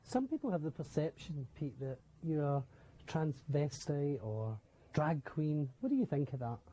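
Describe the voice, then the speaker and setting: A husky-voiced male interviewer with a fairly high, mellow tone puts his questions in a lilting, sing-song way. His manner is chatty and encouraging. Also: sing-song, unhurried, poor audio.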